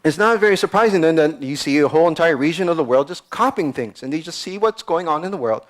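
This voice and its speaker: A man's voice: on the high side, animated, fast talker. A man from the United States, a startup founder giving a conversational conference talk and acting out quoted voices. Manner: conversational, repeats words, acts out quoted voices.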